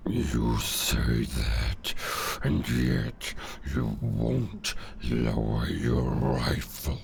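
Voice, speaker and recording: A man playing a menacing werewolf villain in an audio roleplay, cold and taunting, with a gravelly, growling voice. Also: rumbling low, big pitch swings, exaggerated voice. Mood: suspicious, commanding. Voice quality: gravelly, growling, breathy at times. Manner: slow, deliberate, taunting menace. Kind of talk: cinematic audio roleplay acting.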